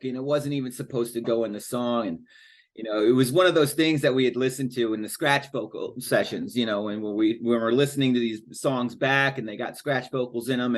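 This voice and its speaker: A man who plays in a band, rambling and searching for his words in a casual podcast chat. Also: mid-range voice. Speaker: musician in a band.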